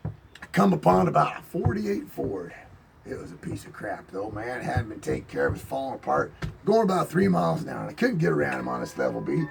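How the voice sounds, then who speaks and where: A man's voice: fairly high pitch, creaky. A man telling a rambling, boastful tall tale in a folksy drawl, as if chatting on a porch. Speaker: storyteller in character. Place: outdoors on a porch.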